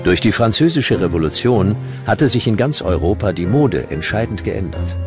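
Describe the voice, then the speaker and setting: A male documentary narrator with a very low voice and animated intonation. He reads scripted history in measured, dramatic short sentences while music plays behind him.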